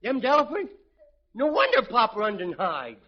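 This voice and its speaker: Mischievous small boy, extremely high voice, bratty wisecracks, 1940s radio comedy, very noisy muffled recording. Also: wildly swooping pitch, moderate pace, full character voice. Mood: wary, bratty. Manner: childish bad grammar, bratty wisecracking. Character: mischievous, cheeky mean little boy at the circus.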